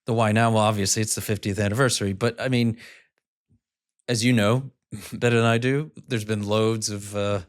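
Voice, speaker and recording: A man's voice: on the low side, steady tone, moderate pace. A man in his fifties from the United States hosting a podcast, asking long, circling questions. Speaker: podcast host.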